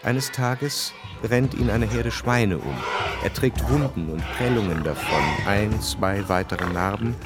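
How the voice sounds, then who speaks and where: A man narrating a radio drama in a measured, matter-of-fact literary style. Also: on the low side, over a noisy crowd, close to an everyday voice. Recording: music behind the voice.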